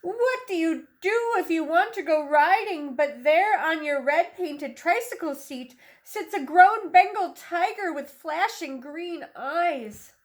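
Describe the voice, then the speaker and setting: A woman teacher reading a picture book aloud to young children in an animated, instructive way, asking questions as she goes. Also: extremely high pitch. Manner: instructive, questioning, slow deliberate phrasing.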